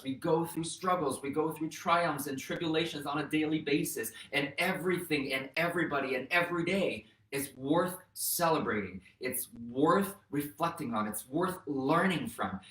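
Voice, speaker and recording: A man's voice: fairly high pitch, normal speed, few pauses, fairly bright tone. A man in his thirties whose first language is Mandarin, hosting a motivational talk and earnestly encouraging his viewers. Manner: earnest, encouraging, addresses viewers as "you guys". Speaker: host, real estate educator. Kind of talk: motivational vlog talk to camera. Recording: roomy echo, mic at a distance.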